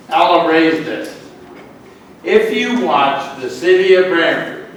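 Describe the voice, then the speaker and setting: Slow, booming man in an echoey hall. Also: a bit bright. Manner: says he has a strong, carrying voice. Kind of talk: town-hall question and comment. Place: public meeting hall. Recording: echoey hall, distant mic, faint noise.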